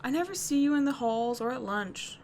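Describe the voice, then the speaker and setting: A woman playing a tough but kind teenage delinquent schoolgirl in an audio roleplay, talking casually and bluntly to the listener, with swearing and halting, confiding asides. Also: a little high, expressive, darkish tone, slight background noise. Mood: sincere, grateful.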